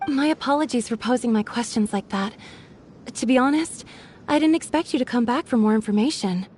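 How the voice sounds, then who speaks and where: A woman voicing a withdrawn young village woman in a video game, soft-spoken, hesitant and guarded. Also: fairly high pitch, brisk, slight background noise, natural voice. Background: game background music.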